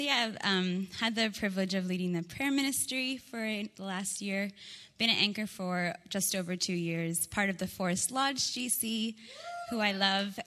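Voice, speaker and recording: A woman's voice: mid-range voice, pushed and bright. A young woman from Kazakhstan giving an informal, earnest personal testimony in a church interview. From Kazakhstan, Central Asia. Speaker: prayer ministry leader being interviewed. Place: church gathering in a reverberant room. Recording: long hall reverberation.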